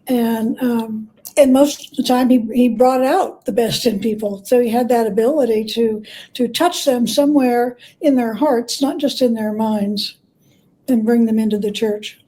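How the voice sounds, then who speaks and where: A woman speaking as an expert guest in an interview, telling stories in a plain-spoken, thoughtful, measured way. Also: fairly high pitch, fairly even intonation, dark tone, rough recording. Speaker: guest, church historian and biographer. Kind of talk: conversational interview, storytelling.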